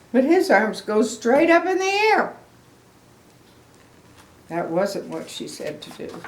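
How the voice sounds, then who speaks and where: An older woman tells a children's story at a slow pace, with a very lively rise and fall. She speaks in simple explanatory phrases, and the room is echoey. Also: mid-range voice. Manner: simple, explanatory phrases addressed to young listeners. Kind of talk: animated picture book read-aloud with asides.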